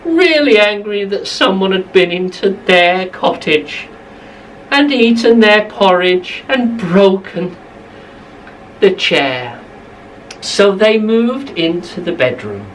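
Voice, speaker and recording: A woman's voice: mid-range voice, expressive, takes it slowly, far from the mic, a little hiss or hum, rough recording. A woman telling a bedtime story to young children, expressively, repeating phrases for emphasis, slightly away from the mic.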